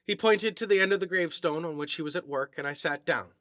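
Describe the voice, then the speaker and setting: Bright, forceful male storyteller. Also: fairly high pitch, fast, no room sound, studio quality. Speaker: story reader. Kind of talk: dramatic scripted narration of a classic eerie short story.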